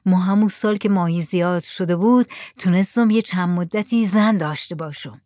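A woman's voice: lowish voice, dark tone, no room sound, studio quality, lightly acted. A woman reading the lines of a sleepy, easygoing village drummer. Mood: drowsy. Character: drowsy poor drummer man, easygoing.

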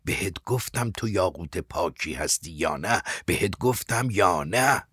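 A man's voice: low voice, animated, a bit bright, husky, dry recording, clean studio sound, full character voice. A man voicing an embittered, frail old man who was once powerful, insistent and mournful, in an audiobook. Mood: regretful, insistent. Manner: insistent, repeats himself mournfully. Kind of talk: dramatic audiobook character acting.

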